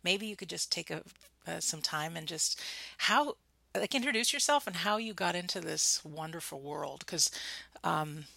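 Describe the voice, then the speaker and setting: Gravelly, low-voiced female host. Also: lively intonation, normal speed, sharp tone, no echo. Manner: effusive, enthusiastic. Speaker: radio show host. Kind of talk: interview hosting.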